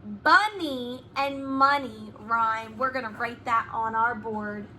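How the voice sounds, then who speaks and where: A woman reads a storytime lesson to young children in a high, lively voice. She goes very slowly and deliberately, giving key words a sing-song lift. There is faint noise behind her. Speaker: preschool teacher.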